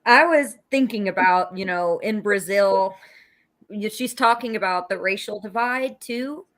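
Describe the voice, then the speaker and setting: A woman's voice: medium pitch, measured pace, a bit bright. Earnest American woman in political discussion. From United States. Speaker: political talk show panelist.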